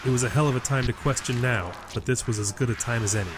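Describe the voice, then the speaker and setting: A man's voice: medium pitch, fast talker, noisy background. A man reading a scripted fantasy story as a dramatic storyteller.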